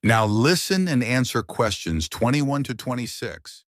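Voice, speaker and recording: A man's voice: medium pitch, sing-song, unhurried, no echo, clean studio sound. A man reading scripted test instructions as an exam announcer.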